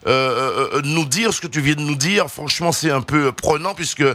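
A man hosting an informal interview show, talking in a wordy, halting way and often repeating his phrases. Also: a little high, pushed and bright, dry recording, faint music behind. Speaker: radio show host.

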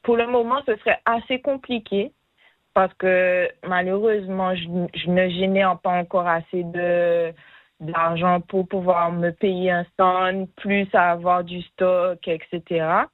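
A woman's voice: lowish voice, level tone, unhurried, dry recording. Young woman on a phone-like line. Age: 20s. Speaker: interview guest, small business owner and designer. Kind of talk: informal interview answers.

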